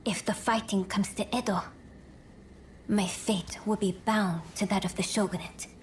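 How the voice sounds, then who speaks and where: An actress playing a dignified, gentle but resolute princess in a video game, with a soft, breathy, formal delivery. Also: female voice, mid-range voice, steady tone, moderate pace, slight background noise, natural voice, low-quality recording. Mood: anxious hope. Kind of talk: dramatic video game cutscene acting.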